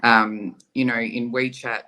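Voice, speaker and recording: A man speaking as a guest on a video call, working through his ideas out loud with plenty of ums. His tone is bright and forceful, and his pitch sits in a middle range. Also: little pitch movement, slow, poor audio. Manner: thinks aloud, frequent ums. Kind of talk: casual conversation.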